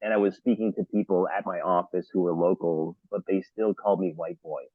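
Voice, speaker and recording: A deep-voiced man talks on a panel in a halting way, full of ums and repeated words. His voice comes through dry and narrow, like a phone call. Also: fairly even intonation, no room sound. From Los Angeles area, United States. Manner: halting, many fillers and restarts. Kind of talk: panel discussion. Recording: thin phone-like audio.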